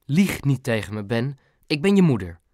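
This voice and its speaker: A man voicing an excitable, dramatic mother with an emphatic delivery in an audiobook. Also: medium pitch, normal speed, no echo, studio quality. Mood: suspicious, indignant. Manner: gushing, emphatic, scolding when crossed. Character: excitable, dramatic mother of a boy, proud nail technician. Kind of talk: audiobook character voice.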